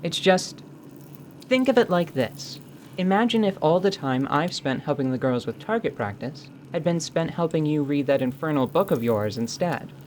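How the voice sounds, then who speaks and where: A teasing female devil mercenary, played by a woman in a fantasy audio drama. She is wry and playful, with an unhurried delivery. Faint background noise sits under the voice. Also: sits low, expressive, a little mellow. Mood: wistful, teasing.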